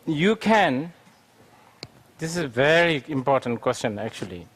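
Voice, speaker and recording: A man's voice: medium pitch, very animated, a little slow. A man, a Buddhist teacher, answers audience questions in a lecture, thinking aloud with frequent fillers.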